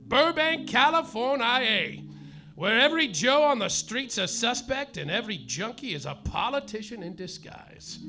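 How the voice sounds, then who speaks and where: A man playing a boozy, hard-boiled male private eye, narrating in a mock-noir deadpan that drags out its punchlines, live on a stage over music. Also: very high-pitched. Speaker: comedic detective narrator. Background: live music and audience laughter.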